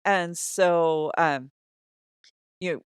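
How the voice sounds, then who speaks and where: A woman's voice: lowish voice, drawn-out words, smooth, dry recording, studio quality. A woman co-hosting a podcast, talking through scripture in a casual, conversational and explanatory way. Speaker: podcast co-host. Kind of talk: casual Bible discussion.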